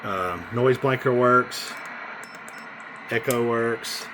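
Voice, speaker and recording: A man casually demonstrating a radio he is selling, talking in an informal, halting, hands-on way. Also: medium pitch. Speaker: CB radio seller. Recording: noisy room with radio hiss.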